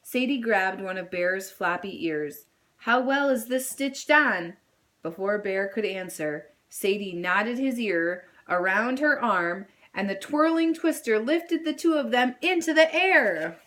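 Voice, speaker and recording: A woman reading a children's story aloud in an expressive style. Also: medium pitch, unhurried, few pauses. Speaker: storybook reader.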